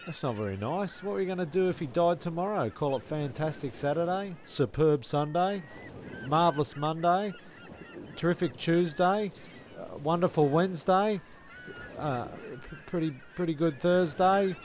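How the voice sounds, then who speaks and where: A man with a gravelly voice acting out scripted comedy dialogue. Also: a little high, moderate pace, darkish tone, a little hiss or hum. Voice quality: gravelly, creaky.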